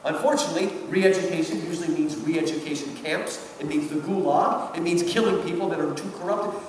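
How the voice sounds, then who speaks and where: A man's voice: a little high, brisk. A man giving a live lecture with literary commentary, speaking emphatically and rhetorically and often checking in with his listeners. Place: large reverberant hall at a public event. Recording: echoey hall from far off, noisy.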